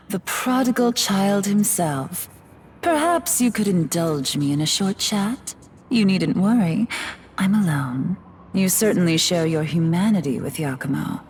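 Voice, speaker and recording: A woman's voice: medium pitch, lively intonation, slow pace, a little hiss or hum. A woman playing a poised, teasing goddess turned demon in a video game, refined and coolly condescending, over music. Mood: teasing curiosity. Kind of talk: dramatic video game voice acting. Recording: loud game music underneath.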